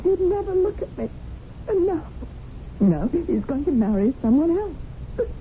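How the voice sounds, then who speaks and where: A woman's voice: extremely high pitch, big pitch swings, unhurried, full character voice. Earnest, flustered English lady for radio drama, emotional swings, hesitant, narrow vintage sound. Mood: miserable, pleading. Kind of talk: 1970s radio drama acting. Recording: noisy narrow-band old radio broadcast.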